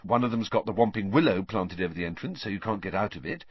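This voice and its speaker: Brief: a man playing a calm, matter-of-fact teen boy, low, husky, muffled dry recording. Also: quick pace. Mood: explaining. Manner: quiet, matter-of-fact, reasoning. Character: calm, low-key teenage schoolboy.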